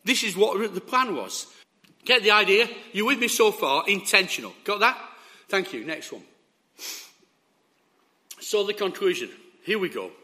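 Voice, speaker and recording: Male preacher, sore-throated and hoarse, bright edgy tone, emphatic delivery. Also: high-pitched. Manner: emphatic, checks in with the listeners. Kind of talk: sermon.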